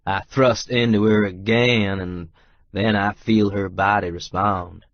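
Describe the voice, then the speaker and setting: Male romance audiobook narrator: low register, slow measured read in short phrases. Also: steady tone, dry recording, lo-fi sound.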